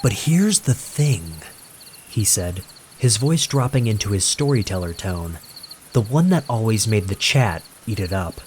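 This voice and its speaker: A man reading a scripted horror story in a measured, suspenseful way with dramatic pauses. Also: on the low side, animated, a little hiss or hum. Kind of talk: scripted creepypasta narration.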